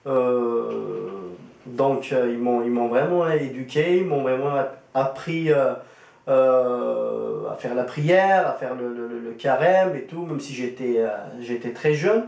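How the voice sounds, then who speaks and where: A man, a Kabyle speaker, talks through his testimony in a halting, casual way, pausing often on fillers. His voice sits at an ordinary middle pitch. It sounds roomy and echoey, picked up from some distance. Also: gravelly, darkish tone. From Algeria. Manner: hesitant, many fillers and restarts. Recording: roomy echo, distant from the mic.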